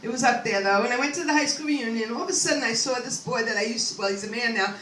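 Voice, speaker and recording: A woman's voice: on the high side, rapid-fire, fairly bright tone, a little hiss or hum. An older woman doing a rambling stand-up comedy set, self-deprecating and full of chatty asides to the crowd. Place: comedy club stage. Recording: echoey room, mic at a distance, faint ambience.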